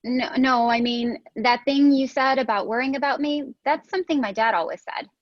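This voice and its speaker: A woman's voice: high-pitched, normal speed, no echo, lightly acted. A woman playing a defensive, mocking young sitcom woman in a script read with dull lo-fi audio. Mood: awkward.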